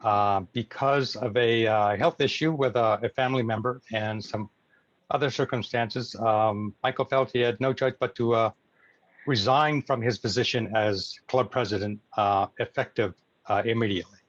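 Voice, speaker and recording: An American man moderating an informal club meeting on a video call, making announcements in a hesitant, halting way. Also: mid-range voice, steady tone, no room sound. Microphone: video call microphone. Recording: muffled video call audio.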